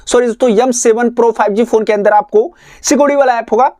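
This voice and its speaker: A man's voice: very high-pitched, steady tone, fast talker, darkish tone, no echo, clean studio sound. A man narrating a step-by-step phone tutorial in a repetitive, instructional way. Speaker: tech tutorial host.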